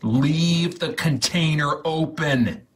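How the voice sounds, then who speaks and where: A man reading an instruction guide aloud in a mock-serious, theatrical, deliberate way, with a husky voice. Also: a little high. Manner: theatrical, deliberate, repeats words for emphasis.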